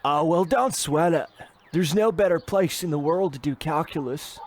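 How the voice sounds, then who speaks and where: A man playing an awkward, folksy, cocky teenage boy in an old-time radio comedy, with halting gee-whiz delivery. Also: fairly high pitch, lightly acted. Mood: breezy.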